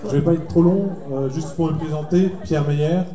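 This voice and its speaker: A man's voice: on the high side, very noisy. Man with an Alsatian accent, echoey hall. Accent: Alsatian French. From Alsace. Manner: halting, many fillers and repeated words. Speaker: union report rapporteur. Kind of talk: public speech at a general assembly.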